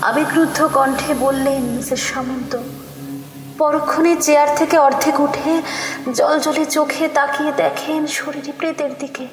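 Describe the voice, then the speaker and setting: A woman narrating a horror audio story in an expressive, suspenseful way, sometimes dropping to a whisper. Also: high-pitched, moderate pace. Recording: echoey reverberant studio mix with music.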